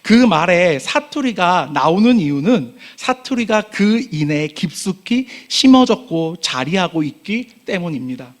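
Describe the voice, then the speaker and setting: High-voiced earnest young male pastor. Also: very animated, unhurried, few pauses. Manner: earnest, rising emphasis on key phrases, falling to quiet line ends. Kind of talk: sermon. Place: church sanctuary.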